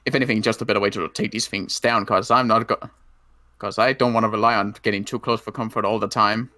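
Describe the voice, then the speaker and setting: Bright-voiced man playing a halting zombie survivor. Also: lowish voice, fairly even intonation, no room sound. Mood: cautious, serious. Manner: halting, restarts and rephrases often. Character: battle-worn male ex-military zombie apocalypse survivor, cautious marksman. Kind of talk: improvised Minecraft zombie roleplay.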